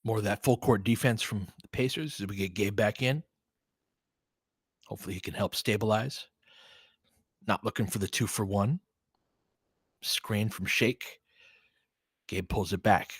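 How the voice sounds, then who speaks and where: A man hosts live basketball commentary in a relaxed, chatty style. He follows the play in short, clipped phrases and openly sides with his team. The sound is dry, with very little room in it. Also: fairly low pitch, a little slow, long pauses, slightly rough, dry recording. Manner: conversational, reacting as a partisan fan in short clipped phrases. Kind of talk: casual live play-by-play commentary mixed with fan chat.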